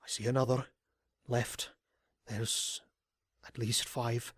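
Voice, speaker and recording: Guy doing a nervous careful pony sidekick, quiet breathy husky voice, trying to stay calm, audio drama. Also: mid-range voice, takes it slowly, dry recording, clean studio sound. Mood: tense, hushed. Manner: hushed, wary. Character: cautious, nervous male pony companion, outwardly calm. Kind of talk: audio drama character acting.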